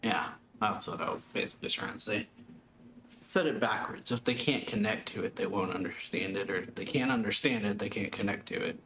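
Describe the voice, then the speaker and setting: A man's voice: lowish voice, fairly quick. A man giving casual, laid-back gameplay commentary in brief offhand remarks. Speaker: gamer doing a let's play. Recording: echoey room mic with clear noise.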